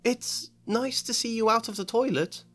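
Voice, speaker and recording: A man's voice: extremely high pitch, a bit bright, pitched above the performer's own voice. A man voicing an anxious, bossy schoolgirl in a storybook reading. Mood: falsely bright. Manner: rushed, fussy and anxious. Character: a high, frantic, bossy schoolgirl.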